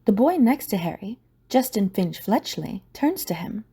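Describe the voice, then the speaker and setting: A woman's voice: medium pitch, sing-song, a little slow, warm tone, breathy edge. A woman reading scripted, dramatic story narration for an audio drama.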